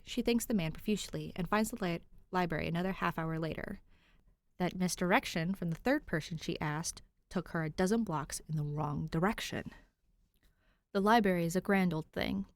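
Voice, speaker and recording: A woman's voice: on the low side, no echo. Woman reading aloud, dry close sound. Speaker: host and reader of a fan fiction podcast. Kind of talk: audiobook-style story narration with chatty host intro.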